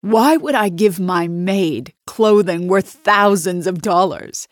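A woman voicing a wealthy, haughty woman who sneers and accuses loudly. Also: medium pitch, a little slow, breathy edge, no echo, clean studio sound. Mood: accusing. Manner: sneering, loud and triumphant.

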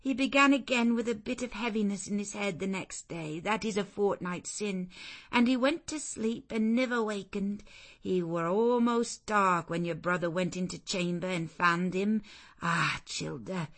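Woman reading as a gruff old servant. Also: medium pitch, moderate pace, voice pushed up, natural voice. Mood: mournful. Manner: gruff and plain-spoken. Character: blunt suspicious elderly woman servant.

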